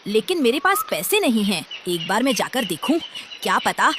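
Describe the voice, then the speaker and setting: A woman's voice: a little high, big pitch swings, normal speed, noisy background. A woman voicing a hungry, penniless female kite bird who wheedles, in a children's cartoon. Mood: wistful. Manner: wheedling, pleading. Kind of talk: children's cartoon story acting. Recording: music under the voice.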